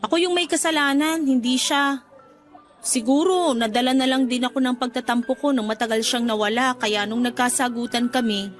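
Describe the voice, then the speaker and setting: Radio drama lead, female actor: young Filipino working woman, high-pitched, expressive, emotional. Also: moderate pace, faint music behind, natural voice. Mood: shy, regretful. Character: emotional, guilt-ridden young working daughter, newly independent.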